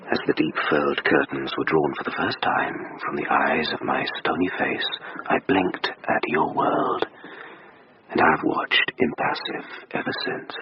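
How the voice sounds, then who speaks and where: An ancient, brooding building from a radio play, rumbling extremely low with a thick creak. It speaks in grand, disdainful, poetic phrases. The recording is muffled and noisy. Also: male voice, exaggerated voice. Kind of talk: dramatic monologue in a radio play.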